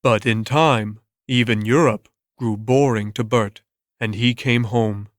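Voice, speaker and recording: A man's voice: medium pitch, fairly even intonation, takes it slowly, no echo. Male story narrator, dry close sound. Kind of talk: dramatic scripted story narration.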